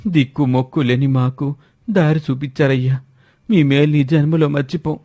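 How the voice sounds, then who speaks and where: A man's voice: a little high, fairly even intonation, normal speed, dark tone, lightly acted. A man voicing a destitute migrant farmer in a village storybook, humble and plaintive. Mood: grateful.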